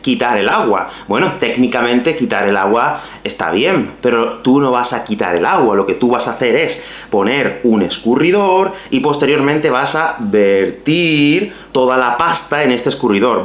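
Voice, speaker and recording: A man in his thirties with a Spain Spanish accent, teaching Spanish vocabulary to camera in a lively, playful way. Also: medium pitch, roomy sound. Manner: lively, expressive, playful asides. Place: small bright room at home.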